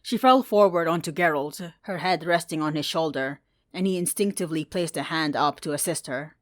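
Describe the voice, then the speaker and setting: A woman reading scripted fantasy fan fiction as a narrator, in a measured, dramatic storytelling manner. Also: low-pitched, dry recording, studio quality.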